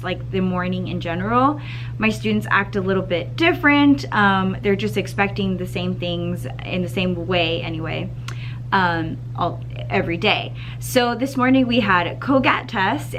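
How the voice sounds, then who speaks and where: A second grade teacher with a slightly scratchy voice, chatting upbeat to camera in a school classroom, clearing her throat now and then. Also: mid-range voice. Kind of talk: casual vlog chat to camera.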